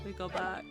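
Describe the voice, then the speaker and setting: A woman hosting a casual game livestream in a playful, expressive way, sounding a little sniffly. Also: on the high side. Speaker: game streamer and host. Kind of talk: casual livestream chat while playing a game.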